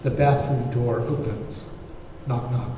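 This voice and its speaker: A man's voice: mid-range voice, steady tone, takes it slowly, mellow. A man reading out scene directions as narrator at a screenplay table read. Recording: very echoey hall, far mic, faint noise.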